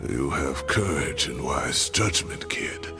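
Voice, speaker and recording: An actor playing an aging, protective scholar with an earnest, emphatic way of speaking in a fantasy game. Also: male voice, rumbling low, lively intonation, takes it slowly, music in the background, full character voice. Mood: wry, approving. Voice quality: gravelly. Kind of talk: dramatic fantasy game voice acting.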